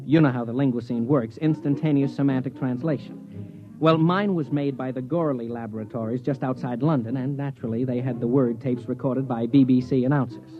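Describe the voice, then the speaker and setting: A man's voice: medium pitch, a little fast, over music, lightly acted. A man playing a put-upon, grumbling space explorer, wry and plain-spoken, in 1950s radio drama style. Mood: wry narrating. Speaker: narrator and lead character. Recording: dull vintage radio recording.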